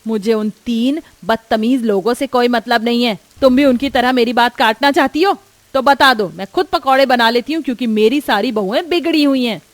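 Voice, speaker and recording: A woman's voice: on the high side, moderate pace, no room sound, close to an everyday voice. A woman voicing a stern older mother-in-law in a story, bossy, suspicious and scolding. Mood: angry, commanding. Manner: scolding, commanding.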